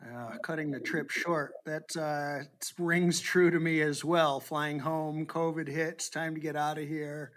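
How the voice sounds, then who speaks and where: A man from Boston hosts an interview, his voice pitched a little higher than most men's. He talks in a chatty way and winds through long questions. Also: steady tone, measured pace, hardly any pauses, a bit bright. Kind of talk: casual interview chat.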